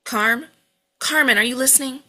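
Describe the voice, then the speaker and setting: A woman's voice: high-pitched, normal speed, bright, forceful tone. A woman playing a volatile, foul-mouthed mother at a script table read, cutting and scolding. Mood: sharp, impatient. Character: bitter, volatile, foul-mouthed mother berating her grown children.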